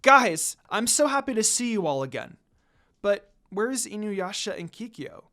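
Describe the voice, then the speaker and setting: Male reader as heartbroken young priestess, earnest, fairly high, expressive storybook delivery. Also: normal speed, exaggerated voice. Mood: happy, relieved. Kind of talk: dramatic story reading.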